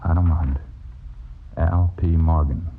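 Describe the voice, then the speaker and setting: 아주 낮은 목소리의 고집스러운 서커스 출신 남자, 먹먹한 옛 라디오 녹음. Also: male voice, sits low, steady tone, takes it slowly, creaky, exaggerated voice. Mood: eager, confiding. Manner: folksy, rambling, slangy and insistent. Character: eager, stubborn old circus man insisting he's right. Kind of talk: old-time radio drama acting. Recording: dull, faintly noisy vintage radio recording.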